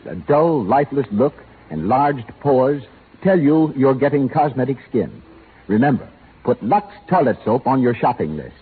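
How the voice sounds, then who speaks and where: A man's voice: mid-range voice, breathy edge. A male radio announcer reading a polished, persuasive 1930s commercial, tinny old broadcast sound.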